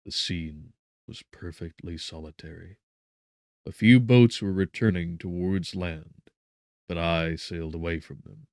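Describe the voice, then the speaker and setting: A man narrating a gothic novel as a scripted audiobook, with measured, dramatic phrasing. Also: deep voice, fairly even intonation, darkish tone, dry recording, clean studio sound.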